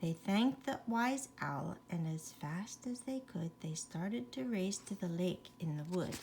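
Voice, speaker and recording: A woman's voice: lowish voice, animated, unhurried, noisy background. A woman reading a rhyming children's bedtime story expressively, with chatty asides to young listeners.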